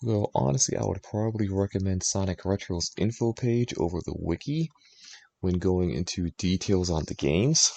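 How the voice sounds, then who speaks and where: Low gravelly guy streaming his writing, thinking out loud, explaining stuff casually. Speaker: streamer writing fan fiction.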